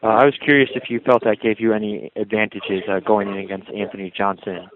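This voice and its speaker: A male reporter asking a fighter a question on a conference call in a measured, polite way. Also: lowish voice. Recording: phone line with crowd noise behind.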